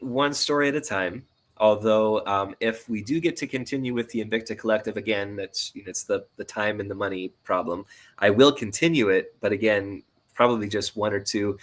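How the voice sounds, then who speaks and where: Low-voiced man, tentative and casual. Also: fairly bright tone. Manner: tentative, hedging, thinking aloud. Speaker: guest, indie audio drama writer and sound designer. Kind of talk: casual interview chat.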